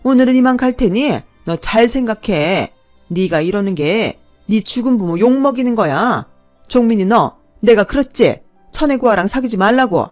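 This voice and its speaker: A woman voicing a bullying older mother-in-law who scolds and insults in a high, loud voice. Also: lively intonation, moderate pace, faint music behind, natural voice. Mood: scornful shouting. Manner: shrill scolding, jabbing insults.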